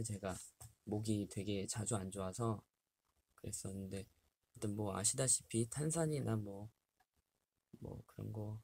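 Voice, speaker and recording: A man's voice: fairly low pitch, vocal fry, darkish tone, husky. A young man in his twenties chatting casually on a livestream, reading health tips aloud and laughing often, in a small bare room. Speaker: livestream host. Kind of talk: casual live chat with fans. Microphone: webcam at desk distance.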